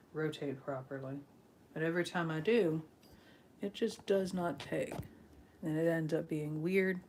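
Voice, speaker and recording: A woman's voice: low voice, a little mellow, slight background noise. A woman chatting casually on a live stream as she sets up, rambling with frequent ums, a little sniffly from allergies.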